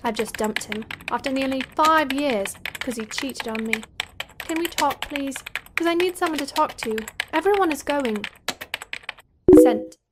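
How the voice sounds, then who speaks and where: British teenage girl, played by a woman: anxious, informal audio-drama role, high-pitched, with clear noise behind. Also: measured pace. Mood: glum, chatty. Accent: British English. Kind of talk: audio drama acting with inner monologue.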